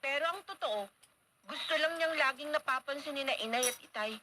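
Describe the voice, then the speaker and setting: An actress playing a spiteful, spoiled young student in a radio drama, scornful and whiny, on a noisy recording. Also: female voice, high voice, a little fast, sharp tone. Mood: resentful. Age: young adult. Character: spiteful, spoiled student daughter jealous of her cousin. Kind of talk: Philippine radio drama acting.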